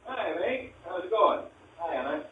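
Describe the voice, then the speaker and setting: Lively 1950s radio husband. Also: male voice, high-pitched, normal speed, vocal fry. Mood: breezy. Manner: relaxed, breezy, colloquial. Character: easygoing affectionate suburban husband and father. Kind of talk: 1950s radio drama acting. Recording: muffled, hissy vintage radio recording.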